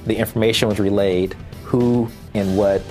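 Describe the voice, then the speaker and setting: A man's voice: lowish voice, fairly even intonation, moderate pace, darkish tone, soft background music. A man in a news interview, giving a formal explanation of a criminal case. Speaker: lawyer interviewed in a news report.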